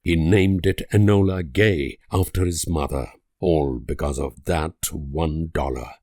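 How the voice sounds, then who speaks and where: An actor playing an idealistic, world-weary diplomat, delivering grave, deliberate speeches and letters in a strategy game. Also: male voice, extremely low pitch, darkish tone, no echo, clean studio sound, heavily acted. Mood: bleak sorrow.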